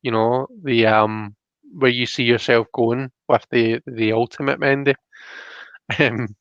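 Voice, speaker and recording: Gravelly Scottish man, casual and thoughtful. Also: medium pitch, fairly even intonation, measured pace, no room sound, lo-fi sound. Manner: thoughtful, often checks with "you know" and "do you know what I mean". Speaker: host and tarot card reader. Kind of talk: casual, conversational tarot reading.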